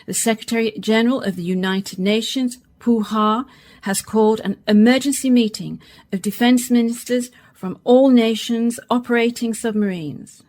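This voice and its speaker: A woman playing a news reporter and newsreader in a radio play, with a clear broadcast delivery. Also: on the high side, fairly even intonation, moderate pace, darkish tone, lightly acted. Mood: formal.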